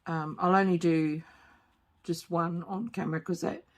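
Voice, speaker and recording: A woman with a slightly croaky voice, hosting a casual craft tutorial at home and talking hesitantly with many fillers. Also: lowish voice, steady tone, moderate pace. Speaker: crafting channel host. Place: home craft table, late at night.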